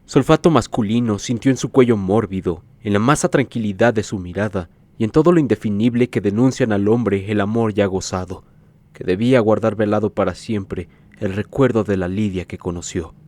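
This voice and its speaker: A man narrating a literary story in a measured, scripted audiobook reading. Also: lowish voice, darkish tone, no echo.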